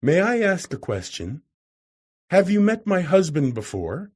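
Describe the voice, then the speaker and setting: Man reads as an anxious fretful wife, voice raised higher, husky tone, storybook reading. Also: sing-song, unhurried, darkish tone, full character voice. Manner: worried, pleading. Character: nervous fretful middle-aged wife, anxious.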